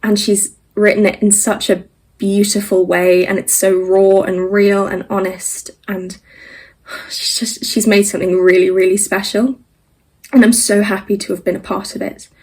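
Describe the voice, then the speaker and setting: A woman's voice: medium pitch, level tone, normal speed, a little mellow, husky. A young woman, an actor, speaking in a sincere, heartfelt way for a personal video recording.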